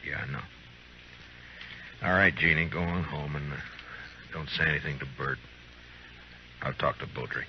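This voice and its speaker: An actor playing a weary, steady middle-aged frontier marshal in a radio western, speaking in a measured, laconic, authoritative way. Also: male voice, rumbling low, a little hiss or hum. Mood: grave, guarded. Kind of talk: old-time radio western acting. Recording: dull old radio recording, music.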